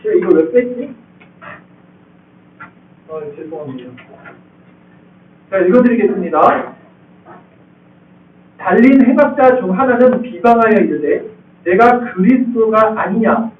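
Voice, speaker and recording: A male pastor preaching to his congregation, talking with them as he goes and checking whether they follow. His voice sits very high and moves a lot. He sounds far from the microphone, and the thin, tinny sound echoes around a large church hall. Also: expressive, a little slow, long pauses. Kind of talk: expository sermon. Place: church sanctuary.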